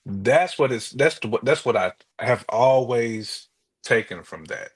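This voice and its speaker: A man's voice: medium pitch, animated, measured pace, slightly rough. An American man co-hosting a talk show, chatting casually and giving his opinions.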